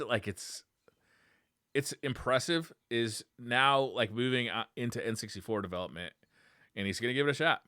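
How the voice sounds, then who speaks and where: A man's voice: medium pitch, pushed and bright, smooth, no room sound, studio quality. A man co-hosting a podcast, chatting casually and enthusiastically about video game news in informal, slangy language. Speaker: podcast co-host.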